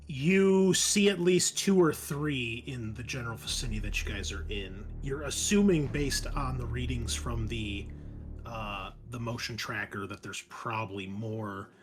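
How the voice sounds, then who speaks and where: A man working as game master, narrating a tabletop role-playing game in a conversational way and thinking aloud as he makes rulings. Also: mid-range voice. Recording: music playing under the voice. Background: game background music.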